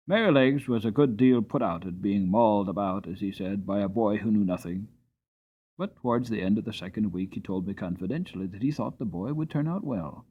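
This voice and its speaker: A man giving an expressive, dramatic reading of a classic storybook as narrator. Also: lowish voice, breathy edge. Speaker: audiobook reader.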